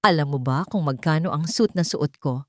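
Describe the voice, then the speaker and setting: A woman's voice: sits low, moderate pace, dry recording, close to an everyday voice. A haughty middle-aged male HR director, acted out by a woman storyteller with a Filipino accent. He talks down to people with mocking disdain and cold cruelty. The sound is dry and close, like an audio story.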